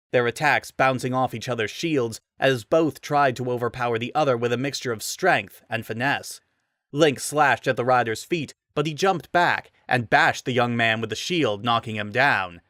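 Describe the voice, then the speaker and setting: A man's voice: mid-range voice, moderate pace, fairly bright tone, no room sound, clean studio sound. A man giving a dramatic reading of a fantasy story as narrator.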